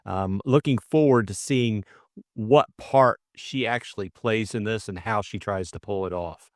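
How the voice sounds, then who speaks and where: Man, middle-aged, lower pitch, hesitant informal phrasing, audiobook co-host, dry close sound. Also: a little slow, no room sound. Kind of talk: narration and casual book discussion.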